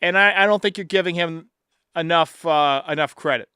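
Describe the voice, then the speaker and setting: A man's voice: a little high, normal speed, pushed and bright, clear, resonant tone, dry recording, clean studio sound. A man hosting a sports talk show, giving emphatic, blunt, profane opinions in a commentary style.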